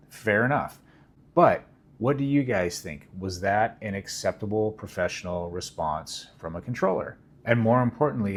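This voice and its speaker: Middle-aged guy, lowish voice, talking viewers through it all measured and earnest. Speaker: vlogger and airline pilot. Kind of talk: explanatory commentary to viewers.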